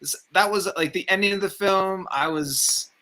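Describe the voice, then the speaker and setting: Male co-host, fairly high bright voice, slangy hesitant delivery in loose movie chat. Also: normal speed. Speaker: film review show co-host. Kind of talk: casual film discussion.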